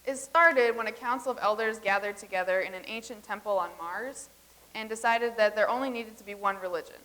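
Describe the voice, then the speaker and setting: A woman's voice: medium pitch, brisk, bright, forceful tone, close to an everyday voice. A woman reading the part of a visitor from the far future in a staged comedy reading, explaining everything in an earnest, matter-of-fact way.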